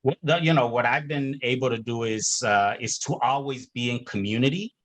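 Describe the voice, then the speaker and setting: A man's voice: mid-range voice, moderate pace, fairly bright tone. Earnest man with many hesitations. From Chicago. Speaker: panelist. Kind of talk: panel discussion on a video call. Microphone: video call.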